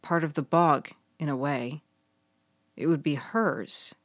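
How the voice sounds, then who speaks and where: A woman narrates a serial story in a low register. The sound is dry and close, and she reads in a scripted audiobook style. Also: takes it slowly, no echo. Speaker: author and narrator.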